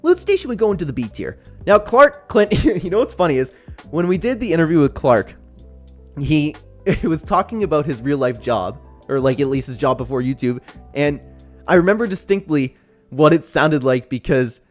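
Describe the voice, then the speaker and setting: A man's voice: fairly high pitch, moderate pace. A man hosting a gaming tier-list video, chatting casually and animatedly as he ranks people, with music behind him. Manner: animated, chatty, acts out imagined voicemails. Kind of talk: casual ranking commentary with impressions. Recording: background music under the voice.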